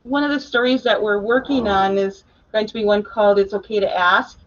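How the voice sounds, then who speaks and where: A woman's voice: mid-range voice, fairly even intonation, a little fast. A woman presenting casually on a video call, chatty and encouraging, like a teacher talking to a group. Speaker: special education teacher presenting. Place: indoor school room, on a video call. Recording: dull webcam video-call audio.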